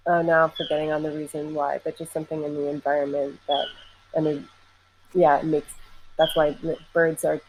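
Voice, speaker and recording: A woman's voice: sits low, flat delivery, a little fast, a little mellow, slight background noise, rough recording. A young adult woman musician in casual conversation, talking loosely with many "like" fillers and easy laughter. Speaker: singer and musician.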